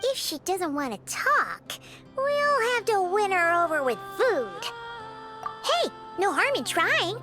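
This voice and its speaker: A woman voicing a small, chirpy, excitable female fairy companion in a video game, with a squeaky childlike voice and exclamatory manner. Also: slow pace, music in the background, heavily acted. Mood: eager, cheerful. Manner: refers to self in third person, exclamatory. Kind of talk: video game cutscene voice acting.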